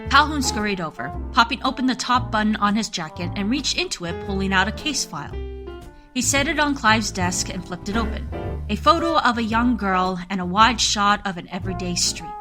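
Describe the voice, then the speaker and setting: A woman's voice: medium pitch, lively intonation, fairly quick. A woman narrating a scripted radio drama in a storytelling style. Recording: music and crowd sounds behind. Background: music and crowd bed.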